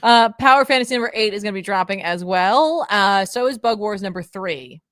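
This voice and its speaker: A woman's voice: mid-range voice, expressive, fairly quick, fairly bright tone, no room sound, clean studio sound. Playful young woman reading comments. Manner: playful, laughs easily. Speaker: co-host of comic book review show. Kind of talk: casual podcast chat, reading viewer comments.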